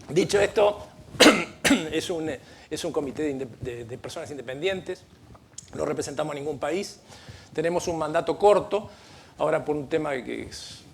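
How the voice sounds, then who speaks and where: A man's voice: on the high side, gravelly, slight background noise. A middle-aged man with an Argentine accent, speaking on a conference panel in an earnest, explanatory way, often restarting his sentences. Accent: Argentine Spanish. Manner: earnest, explanatory, with frequent restarts and light asides. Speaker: panel speaker at a legal training event. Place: conference room.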